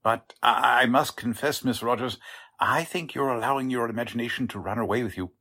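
Husky, courteous warehouse manager. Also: male voice, mid-range voice, brisk, pushed and bright. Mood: politely doubtful. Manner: courteous, hesitant, fussily formal. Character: polite, defensive warehouse manager showing investigators around. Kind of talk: 1940s radio drama acting.

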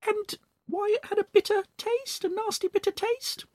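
A man reading aloud and voicing a cruel, gloating old woman from a children's book in a shrill, mocking falsetto. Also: steady tone, normal speed, darkish tone, no room sound. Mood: taunting.

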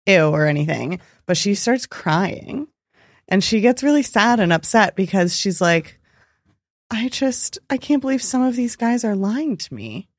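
A woman's voice: mid-range voice, animated, measured pace, no room sound, studio quality. A woman co-hosting a podcast, chatting casually and laughing often. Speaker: podcast co-host. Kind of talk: casual chat.

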